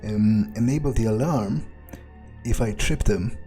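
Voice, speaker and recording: A man's voice: fairly low pitch, measured pace, mellow, faint music behind, close to an everyday voice. A man roleplaying an irritable, cynical vampire in a video game, muttering bluntly and thinking aloud. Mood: wary muttering. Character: irritable, foul-mouthed, cynical male vampire errand-runner.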